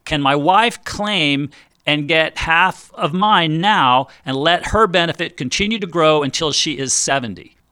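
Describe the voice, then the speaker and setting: A man's voice: on the high side, measured pace, sharp tone, clean tone, dry recording, clean studio sound. A middle-aged man hosting a financial advice radio show, talking in an upbeat, chatty salesman style and joking along the way. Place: radio studio. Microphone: studio microphone.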